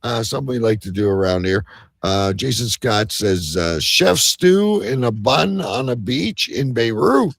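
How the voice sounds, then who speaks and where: A man with a gravelly, lively voice hosts a live comedy show. His pitch moves around a lot as he teases and swears freely, and the sound is close and dry. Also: unhurried, no room sound. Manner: teasing, foul-mouthed.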